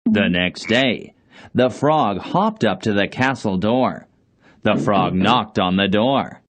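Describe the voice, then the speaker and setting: A man's voice: lowish voice, slow. A man narrating a children's fairy tale as a scripted storybook reading.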